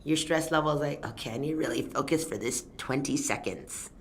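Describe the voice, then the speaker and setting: A young Thai woman, a fight event announcer, chatting casually in an interview, telling animated stories with frequent laughs. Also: extremely low pitch, fairly quick. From Thailand.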